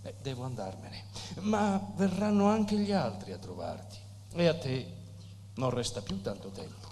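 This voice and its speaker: An actor playing a tormented, nihilistic poet who confesses with solemn emphasis and dramatic pauses in a theatrical style. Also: male voice, mid-range voice, sing-song, a little mellow. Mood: resigned farewell. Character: tormented, nihilistic male poet Alvaro de Campos, passionately confessing. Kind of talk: theatrical stage acting. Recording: reverberant hall with faint hum.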